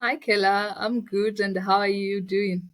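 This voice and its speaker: A woman's voice: mid-range voice, steady tone, measured pace, fairly bright tone, dry recording. A woman with a Zambian accent telling her personal story as an interview guest, in a reflective, conversational way. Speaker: interview guest, finance business founder.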